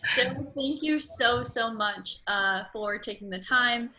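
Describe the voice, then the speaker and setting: A woman's voice: fairly high pitch, slow, sharp tone. A woman interviewer talking in a casual, conversational way, with tentative phrasing and enthusiastic affirmations. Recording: noticeable background noise.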